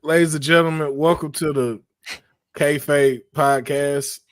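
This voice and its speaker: A man hosting a casual podcast, raspy and hoarse after losing his voice, joking and laughing as he talks. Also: fairly high pitch, fairly even intonation, unhurried, no echo, clean studio sound. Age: adult over twenty-two. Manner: playful, joking, laughs often. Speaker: podcast host. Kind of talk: casual chat.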